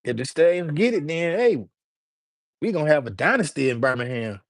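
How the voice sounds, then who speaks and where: A man's voice: a little high, sing-song, brisk, dry recording, studio quality. A man from Alabama, hosting a livestream and chatting casually with his viewers in slangy talk. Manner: loose, slangy, talks straight to chat. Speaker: livestream host.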